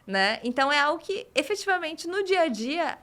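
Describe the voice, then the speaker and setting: A Brazilian woman talks through her answers in a podcast interview, measured and explanatory, with a frequent "né?". Her voice sits a little high. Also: very animated, fairly bright tone. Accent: Brazilian Portuguese. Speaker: legal tech guest.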